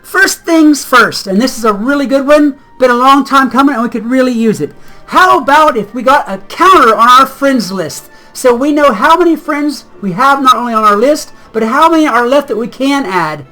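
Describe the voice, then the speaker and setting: A woman hosting a casual gaming vlog, slightly hoarse as if getting sick, chatty and rambling and easily sidetracked. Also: high voice, expressive, moderate pace, bright, forceful tone, soft background music, poor audio. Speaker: gaming channel host.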